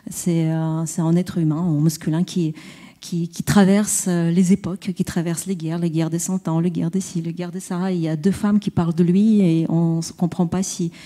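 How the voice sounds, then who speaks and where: A woman poet and translator speaking at a round-table discussion, halting and searching for her words. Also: lowish voice, level tone, warm tone.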